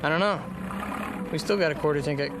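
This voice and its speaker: An actor playing a confident, wryly joking young newlywed husband in a radio drama. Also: male voice, on the high side, natural voice. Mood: calm, optimistic. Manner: wry, joking, unhurried. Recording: steady car road noise behind.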